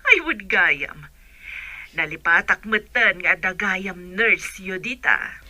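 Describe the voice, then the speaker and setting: A woman playing an excitable middle-aged mother and soon-to-be grandmother in an Ilocano radio drama, with an animated, drawn-out delivery. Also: on the low side, no echo, full character voice. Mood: concerned.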